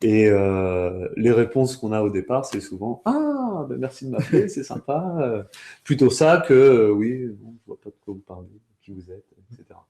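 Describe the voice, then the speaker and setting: Relaxed, dark-toned man explaining step by step. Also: medium pitch, moderate pace. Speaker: sales trainer.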